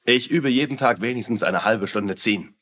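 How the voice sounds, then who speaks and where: A man's voice: mid-range voice, moderate pace, a bit bright, lightly acted. An actor playing a boastful, self-important small-town lawman who loves westerns, in a German-dubbed TV crime drama.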